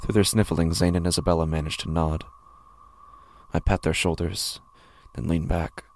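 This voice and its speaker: A man playing a weary, frightened father who narrates a horror audio drama in a tense, brooding way, often dropping to a breathy hush. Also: low voice, steady tone, moderate pace, a little hiss or hum. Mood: weary dread. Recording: music under the voice.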